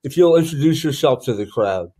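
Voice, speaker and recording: A man's voice: mid-range voice, lively intonation, a little fast, mellow. Chatty male podcast host. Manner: chatty, loose, thinks aloud with many ums. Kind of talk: casual podcast interview.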